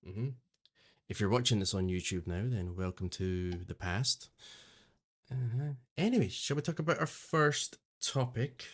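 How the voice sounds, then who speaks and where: A man's voice: lowish voice, expressive, measured pace. Man, podcast host, casual chat, joking, self-deprecating.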